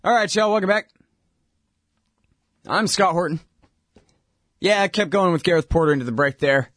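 Friendly talk-radio host, dry close sound. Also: male voice, sits high, normal speed, a bit bright, no room sound. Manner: loose, friendly, apologetic asides. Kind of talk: casual interview chat.

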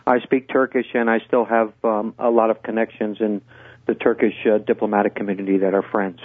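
An older man, a guest on a radio interview, speaking in a measured way with many hesitations and restarts. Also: fairly low pitch, flat delivery, no room sound. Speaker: guest, former intelligence officer. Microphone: phone call. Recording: tinny phone line.

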